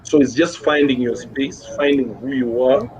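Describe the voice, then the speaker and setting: A man's voice: mid-range voice, normal speed, a crowd in the background. A Kenyan man speaking as a music guest in a casual interview, encouraging, repeating phrases and mixing English with Swahili slang. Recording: muffled recording with clear background noise.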